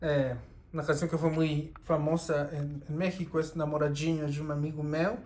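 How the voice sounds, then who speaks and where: A man, talking casually and unhurriedly through his CD collection, with many pauses and restarts. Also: fairly high pitch, level tone, a little mellow. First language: Spanish. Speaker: record collector.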